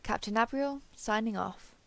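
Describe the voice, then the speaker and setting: A woman's voice: fairly high pitch, normal speed, vocal fry, fairly bright tone, no room sound. A woman playing a wry alien explorer captain in an audio drama, dictating a log with casual, sardonic asides. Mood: awed, wistful. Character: wry, weary alien spaceship captain, explorer, awed by discovery. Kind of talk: acted internal monologue as captain's log.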